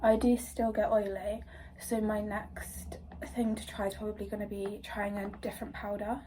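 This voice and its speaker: A woman's voice: mid-range voice, little pitch movement, moderate pace, warm tone, distant mic, slight background noise, poor audio. A young woman with a stuffy nose from a cold, chatting casually through a makeup tutorial, rambling with lots of fillers. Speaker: beauty vlogger.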